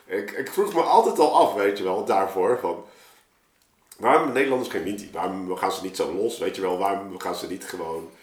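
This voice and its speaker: A man with a fairly low voice talks as a guest on a podcast. He tells his story in a loose, rambling conversational way, dropping in colloquial tags. The room adds a clear echo to his voice. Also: a little fast. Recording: roomy, echoing podcast recording.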